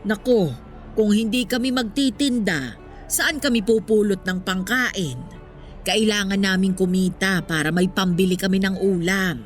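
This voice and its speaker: A woman's voice: mid-range voice, measured pace, faint music behind, close to an everyday voice. An actress playing a proud, talkative sixty-year-old Filipino street vendor with a motherly, exclamatory manner in a radio drama.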